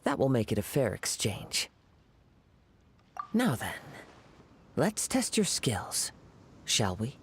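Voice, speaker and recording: A man playing a refined, soft-spoken young swordsman in a video game, formal and eloquent, courteous and poetic. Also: medium pitch, huge pitch swings, takes it slowly, long pauses, slight background noise, full character voice. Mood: polite curiosity. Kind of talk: scripted video game dialogue. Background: game music.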